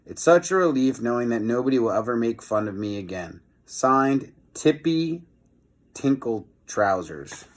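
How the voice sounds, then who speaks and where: A man's voice: medium pitch, lively intonation, measured pace, lightly acted. A man voicing a defeated storybook villain, a mad professor who sounds sheepish, earnest and apologetic.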